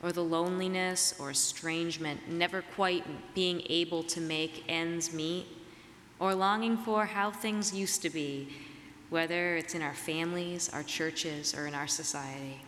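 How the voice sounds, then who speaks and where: A woman preacher delivering a reflective, measured sermon, her voice slightly raspy as she gets over a cold. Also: on the low side, fairly even intonation, fairly bright tone. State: getting over a cold and flu. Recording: reverberant hall, clear background noise.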